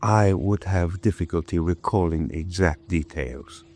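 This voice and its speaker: An actor playing a bitter, insubordinate submarine first officer in a radio drama, speaking in a cold, clipped, insinuating way. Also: male voice, deep voice, measured pace, darkish tone.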